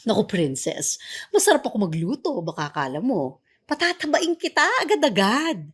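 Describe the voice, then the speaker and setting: A woman's voice: medium pitch, moderate pace, breathy edge. An actress playing a doting, nosy middle-aged Filipino mother who fusses and teases in a radio drama. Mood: doting, fussy. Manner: fussing, teasing, expressive.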